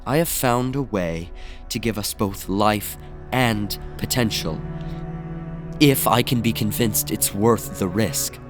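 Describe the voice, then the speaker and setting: An actor playing a brooding, aloof messiah in an audio drama, speaking gravely and deliberately. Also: male voice, lowish voice, music in the background. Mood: grave, detached. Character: brooding male messiah, aloof puppet of cosmic powers.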